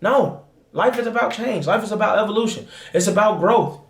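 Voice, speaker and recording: A man's voice: sits high, a little fast, roomy sound. A man, talking casually and passionately as a guest, often asking "you know what I'm saying". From Dayton, Ohio, USA. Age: 20s. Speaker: guest, host's husband. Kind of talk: casual chat.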